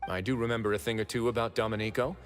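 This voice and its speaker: An actor playing a shrewd, composed prison duke in an anime video game, sardonic, unhurried and self-assured. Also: male voice, lowish voice, steady tone, faint music behind, natural voice. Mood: musing, probing.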